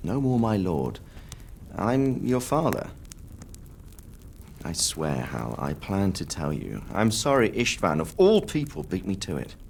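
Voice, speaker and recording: A man's voice: sits low, wildly swooping pitch, a little slow, creaky, darkish tone, a little hiss or hum, full character voice. A man acting an authoritative yet caring noble father in a medieval video game. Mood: apologetic.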